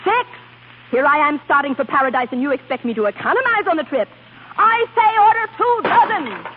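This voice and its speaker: High-pitched, tempestuous opera diva, tinny radio. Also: female voice, lively intonation, fairly quick. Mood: rapturous, imperious. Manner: melodramatic, imperious. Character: fiery, jealous, romantic opera diva. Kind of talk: 1930s radio acting. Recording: tinny 1930s radio recording.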